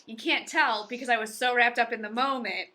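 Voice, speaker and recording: A woman podcast host chatting casually about a book, animated and given to dramatic comic retelling. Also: a little high, fairly quick. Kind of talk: casual book review chat.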